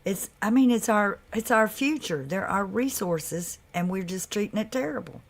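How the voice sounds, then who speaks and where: A woman hosting a live stream in a loose, chatty way, with a deep voice that carries. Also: expressive, normal speed. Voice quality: deep, carrying voice. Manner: loose and chatty, with laughs and asides to viewers. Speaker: livestream host. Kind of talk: casual live chat.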